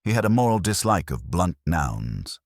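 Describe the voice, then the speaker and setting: Male narrator for a wry mystery novel: very deep, formal, lively intonation, close dry sound. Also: normal speed, no echo. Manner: formal, dryly ironic. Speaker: narrator and reader. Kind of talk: scripted literary mystery narration.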